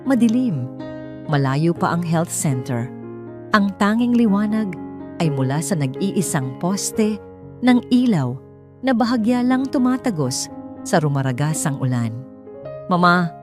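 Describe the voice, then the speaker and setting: A woman's voice: lowish voice, very animated, unhurried, pauses often. A woman reading a scripted story as a dramatic storyteller. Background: soft music.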